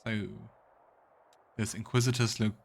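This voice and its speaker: A man's voice: lowish voice, flat delivery, a little slow, background noise. A man giving casual let's play commentary on a video game, musing aloud to himself as he goes. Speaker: streamer playing and commenting on a game.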